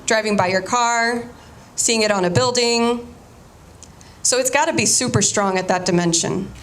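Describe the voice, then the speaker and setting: A woman's voice: a little high, moderate pace. Chatty, informal woman giving an artist talk. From United States, Cuban-American family. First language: Spanish. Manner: informal, chatty, restarts sentences often. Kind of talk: casual artist talk to an audience.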